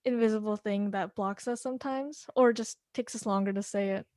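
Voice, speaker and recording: A woman hosting an interview who stutters herself, speaking with pauses and "um" fillers in a friendly chat. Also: medium pitch, fairly even intonation, darkish tone, no echo, clean studio sound. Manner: stutters, speaks of her own blocks, pauses with "um". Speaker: podcast host. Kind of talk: casual interview conversation.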